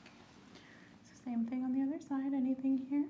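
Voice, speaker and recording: Mellow-voiced woman whispering a clinical exam. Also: high voice, flat delivery, highs cut off, slight background noise. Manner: soft, slow, clinical instructions, often whispered. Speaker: ASMR host playing a clinical examiner. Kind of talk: ASMR medical exam roleplay.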